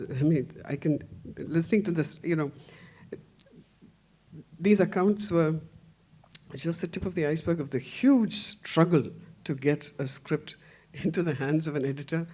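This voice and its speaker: An older woman from India, speaking on a festival panel in a rambling, self-deprecating way with many restarts and soft laughs. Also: low voice. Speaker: panelist, translation editor. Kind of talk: panel discussion.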